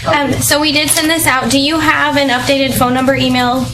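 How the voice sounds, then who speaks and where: Higher-voiced woman explaining from afar. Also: little pitch movement, far from the mic, background noise. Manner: explanatory, quick, with restarts.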